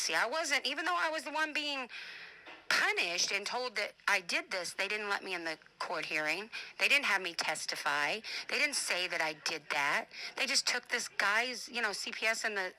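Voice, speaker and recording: Woman with a very bright, forceful voice. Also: mid-range voice, rough recording. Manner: emphatic, defensive, repeats points for emphasis. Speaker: family advocate and show host. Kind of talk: informal personal voice message.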